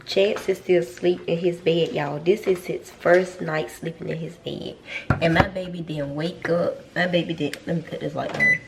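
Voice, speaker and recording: A woman's voice: fairly low pitch, steady tone, normal speed, creaky, noisy background. A young woman with a Southern accent, a mom chatting casually to her viewers in a home vlog, stuffy-nosed and rambling, often repeating herself. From North Carolina. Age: young adult. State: stuffy nose. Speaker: vlogger and mom. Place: home kitchen.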